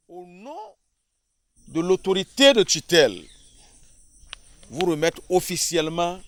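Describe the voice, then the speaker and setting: A man, an official giving a formal, solemn speech in short phrases. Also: fairly high pitch. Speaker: government official.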